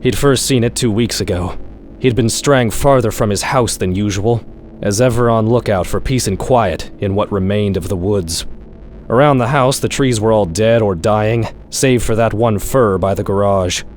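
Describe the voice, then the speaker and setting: A man's voice: on the low side, moderate pace, darkish tone, slight background noise. A man reading a scripted short story in a wry, sardonic storytelling manner, like a horror-comedy audiobook. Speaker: author-narrator reading own short story.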